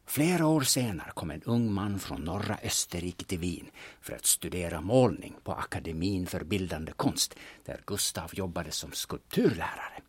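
An actor playing a cynical, blunt, secretive grave robber in an audio drama, telling things in a dry manner. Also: male voice, low voice, very animated, hardly any pauses, slightly rough, no echo, heavily acted. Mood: intense storytelling.

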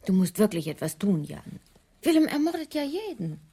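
Husky, animated, insistent woman. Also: medium pitch, normal speed, heavily acted. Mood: urgent, scolding. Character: insistent, blunt woman urging the commissioner on. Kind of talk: 1980s German radio play acting.

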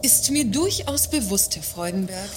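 A woman's voice: medium pitch, lively intonation, normal speed, a little mellow, slight background noise, lightly acted. A woman playing a combative, sarcastic works council representative in a satirical radio play, articulate and needling. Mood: indignant.

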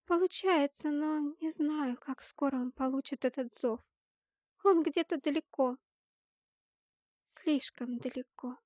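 Woman voicing an anxious, high-voiced young girl, soft and earnest. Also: normal speed, dry recording, clean studio sound. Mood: sad, worried. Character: young girl with ancient power, anxious, sorrowful, pleading.

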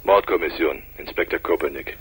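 An actor playing a brusque, sarcastic homicide inspector in a radio play, heard through a telephone. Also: male voice, extremely low pitch, fairly quick. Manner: sardonic, forceful. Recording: noisy telephone line effect.

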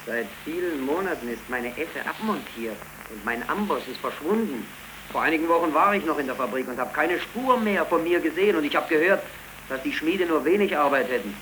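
An actor playing an idealistic, weary and defiant young unemployed blacksmith in declamatory 1930s German radio play style, on an old noisy recording. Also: male voice, fairly high pitch, expressive, fairly quick, a bit bright. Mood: sceptical. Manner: declamatory, fervent.

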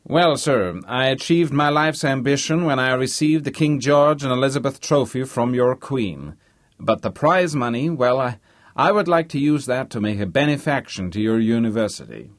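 A man's voice: mid-range voice, animated, moderate pace, no echo, clean studio sound, voice pushed up, lightly acted. A man voicing a wealthy middle-aged American businessman, earnest, respectful and effusive, in a storybook reading. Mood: earnest, grand.

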